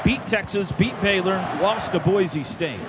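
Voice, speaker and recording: An American man calling live football on radio play-by-play, excitable, building drama in short punchy phrases. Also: sits high, a little slow. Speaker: play-by-play announcer. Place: stadium radio booth. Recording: dull noisy stadium radio broadcast.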